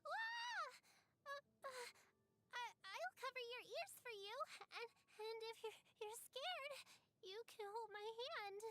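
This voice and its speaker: Sweet caring little game girl, super high and really animated. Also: heavily acted. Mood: frightened, brave. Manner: polite, nurturing, childlike eagerness. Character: cheerful caring little girl, young adepti disciple. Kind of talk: video game character voice lines.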